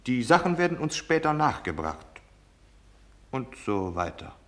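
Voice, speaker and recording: An actor in a German radio drama playing a cold, domineering officer, curt, impatient and cynical in giving orders. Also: male voice, medium pitch, sing-song, unhurried. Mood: barking impatient orders. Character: cold, domineering military officer delivering deadly orders, male.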